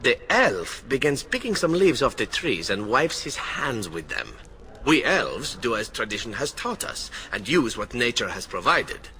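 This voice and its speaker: Casting: playful rogue adventurer, fantasy game. Bright, hugely animated, theatrical storytelling voice. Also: male voice, medium pitch, unhurried, full character voice. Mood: sly amusement. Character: playful, roguish male adventurer telling tales. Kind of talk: fantasy video game voice acting.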